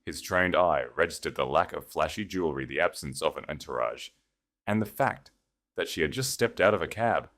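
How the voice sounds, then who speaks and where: A man's voice: extremely low pitch, lively intonation, moderate pace, fairly bright tone. A man reading scripted fiction as an audiobook narrator, in a dramatic storytelling style.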